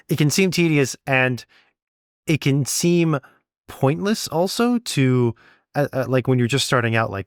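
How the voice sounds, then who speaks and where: A man's voice: medium pitch, no echo, clean studio sound. A man co-hosting a podcast, talking casually and encouragingly, with rambling, self-deprecating asides. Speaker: podcast co-host and sound designer. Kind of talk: casual conversational podcast talk.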